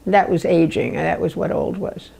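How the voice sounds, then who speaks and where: A woman in her nineties, a retired nurse, giving halting, thoughtful interview answers. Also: on the low side, fairly even intonation, creaky, dark tone, breathy edge. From New Jersey. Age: 90s. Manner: halting, searching for words.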